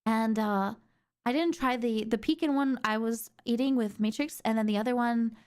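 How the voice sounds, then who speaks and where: A young woman streamer with a sore throat, chatting excitably and rambling with lots of fillers. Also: fairly high pitch, normal speed, clear, resonant tone. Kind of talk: casual stream chat.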